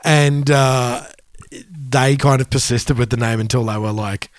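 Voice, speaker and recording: A man's voice: medium pitch, fairly even intonation, a little slow, no echo, clean studio sound. A man with an Australian accent chatting casually and colloquially as a music podcast co-host. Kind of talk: casual chat.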